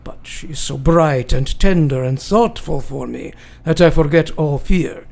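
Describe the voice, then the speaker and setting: Gravelly, high-pitched old professor. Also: male voice, darkish tone. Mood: solemn, fearful. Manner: foreign-sounding broken grammar, solemn, deliberate. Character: elderly male foreign professor and vampire hunter, grave, devoted. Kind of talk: radio drama acting, dramatic journal narration.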